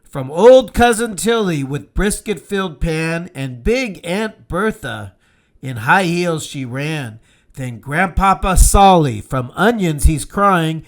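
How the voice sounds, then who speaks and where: A man's voice: fairly high pitch, a bit bright. A man voicing a cocky, taunting little matzah man come alive, chanting boastful rhymes in a sing-song storybook manner. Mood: gleeful, taunting.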